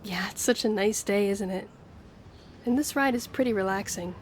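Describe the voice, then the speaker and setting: A woman's voice: mid-range voice, moderate pace, close to an everyday voice. A woman playing a friendly, earnest young woman with a shy romantic streak in an intimate audio roleplay, speaking to the listener. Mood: cheerful, relaxed. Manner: chatty, earnest, flustered hesitations when romantic. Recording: clear background ambience noise.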